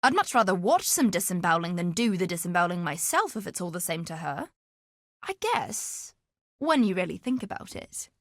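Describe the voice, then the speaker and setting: An actress playing a sly, greedy, flamboyant treasure hunter in a video game, with a wry, self-assured, theatrical delivery. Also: female voice, medium pitch. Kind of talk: video game character dialogue.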